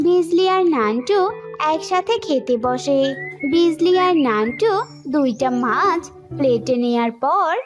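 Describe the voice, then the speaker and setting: High-pitched female children's story narrator. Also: expressive, a little slow, music in the background.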